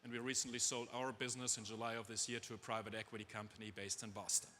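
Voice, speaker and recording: Bright-voiced German man in a reverberant hall. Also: on the low side, flat delivery, quick pace, slight background noise. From Germany, raised in Dubai. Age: middle-aged. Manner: self-deprecating, conversational, rhetorical asides to audience. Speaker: chief executive speaking on stage. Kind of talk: conference presentation, informal and humorous. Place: on stage in a large conference hall. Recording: echoey conference hall, long reverb.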